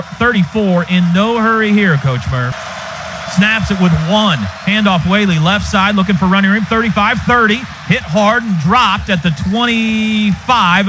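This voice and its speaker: An American man doing live football play-by-play for radio, in an excitable, clipped running call of each play. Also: high-pitched. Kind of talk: live sports commentary. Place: stadium radio booth. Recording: noisy, dull stadium radio broadcast.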